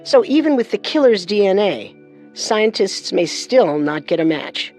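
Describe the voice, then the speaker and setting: A woman co-hosting a scripted true-crime program, speaking in a serious, deliberate way. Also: medium pitch, very animated, darkish tone, faint music behind.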